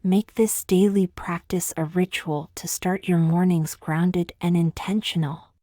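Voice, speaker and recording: A woman leading a scripted guided meditation in a soothing, gentle, measured way, with long pauses and soft, breathy phrase endings. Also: lowish voice, steady tone, dry recording, studio quality.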